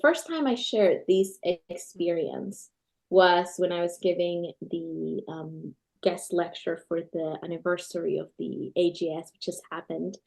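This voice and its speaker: A woman's voice: on the low side, unhurried, gravelly, darkish tone, rough recording. A woman from Peru, a guitarist and scholar in her thirties, answering interview questions with enthusiastic storytelling. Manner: enthusiastic, storytelling with occasional restarts.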